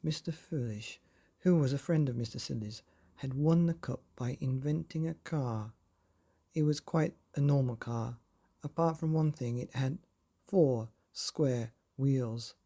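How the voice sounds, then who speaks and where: A man narrating a children's bedtime storybook in a playful, expressive way, often putting questions to the listener. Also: mid-range voice, a little slow, creaky.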